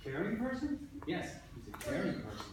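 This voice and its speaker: A man's voice: fairly high pitch, wildly swooping pitch, moderate pace. A man teaching second grade, leading a read-aloud discussion, prompting pupils and often repeating their answers and his questions. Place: classroom. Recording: echoey, noisy room, distant mic.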